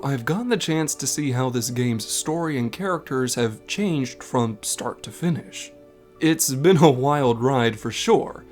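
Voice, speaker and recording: A man delivering scripted narration as the host of a video essay. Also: medium pitch. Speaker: video essay narrator and game content creator.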